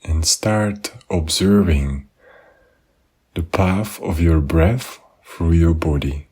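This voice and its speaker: Guy doing guided meditation, slow n gentle, kinda breathy husky voice, leaves pauses. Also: sits low. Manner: gentle, unhurried instruction with pauses. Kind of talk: guided body scan meditation.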